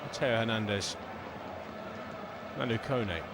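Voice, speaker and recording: A man's voice: lowish voice, slow. A man giving live play-by-play commentary on a football match as the commentator. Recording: loud noise behind the voice.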